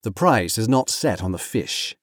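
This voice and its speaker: A man acts out a grave storybook captain, stern and judicious. He commands in formal, old-fashioned phrases with a wide-moving pitch, recorded dry and close. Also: lively intonation, a little slow, husky, dry recording. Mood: stern questioning.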